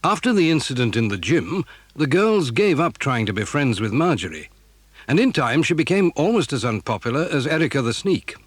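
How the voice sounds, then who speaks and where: A man's voice: medium pitch, big pitch swings, a little fast. A man narrating a scripted children's story.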